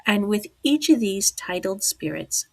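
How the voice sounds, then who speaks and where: A woman hosting a podcast in slow, deliberate speech, hoarse from losing her voice and dropping to a whisper at times. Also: medium pitch, sing-song, a little mellow. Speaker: podcast host. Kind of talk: slow, deliberate talk on the occult.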